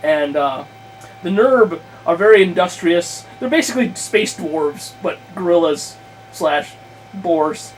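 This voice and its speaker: A man with a notably high-pitched voice talks off the cuff in a casual ramble. He is halting and corrects himself as he thinks aloud, with a crude streak. The recording has clear background noise. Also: animated. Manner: crude, freely swearing. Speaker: podcast guest host, game master. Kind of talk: casual rambling chat about a tabletop game plan. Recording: clearly noisy room recording.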